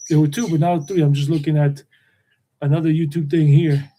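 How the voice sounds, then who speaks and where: Meandering man, mellow voice. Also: fairly high pitch, flat delivery, brisk. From United States. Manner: meandering, repeats himself. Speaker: tour guide and channel host. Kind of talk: rambling live stream chat.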